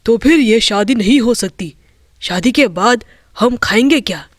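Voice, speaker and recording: A woman's voice: a little high, animated, measured pace, no room sound, clean studio sound, voice pushed down. A woman voicing a groom's blunt, food-loving middle-aged mother in a matronly manner for a storybook tale.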